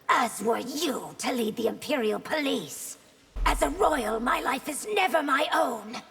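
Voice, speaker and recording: An actress playing a proud, commanding young empress in a fantasy video game, with an imperious, formal manner. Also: female voice, high-pitched, lively intonation, measured pace, vocal fry, fairly bright tone, husky, heavily acted. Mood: composed, regal. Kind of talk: video game dialogue acting. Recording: long hall-like reverb.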